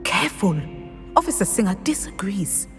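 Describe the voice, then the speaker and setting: A woman playing a protective, disapproving middle-aged mother in a game, firm and measured. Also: mid-range voice, sing-song, big-room sound, soft background music, natural voice. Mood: worried, reproving. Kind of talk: game cutscene dialogue.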